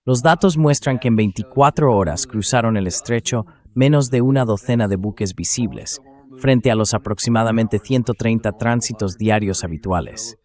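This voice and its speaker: A man's voice: lowish voice, normal speed, a little mellow. An AI-generated man's voice hosting conversational news commentary. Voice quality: synthetic AI translation voice. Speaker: host commentator.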